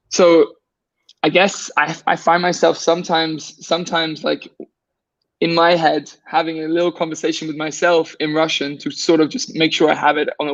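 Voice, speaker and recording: Gravelly man on a dull dry line. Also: a little high, fairly bright tone, no echo. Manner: rambling, many restarts and fillers. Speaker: guest. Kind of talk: casual conversation.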